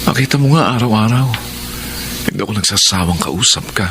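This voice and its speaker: An actor playing an ardent, smooth-talking young suitor in a radio drama, earnest and pleading. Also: male voice, on the low side, expressive, a little fast. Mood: ardent, sincere. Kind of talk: Filipino radio drama acting. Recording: noisy background behind the voice.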